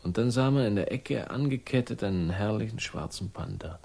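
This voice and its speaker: A man's voice: on the low side, animated, fairly quick, vocal fry, darkish tone. An actor playing a world-weary, sardonic man with a troubled past, speaking tersely in a radio crime drama. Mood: dreamy, uneasy. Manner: terse, fragmented, wry. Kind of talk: 1960s German radio crime drama acting.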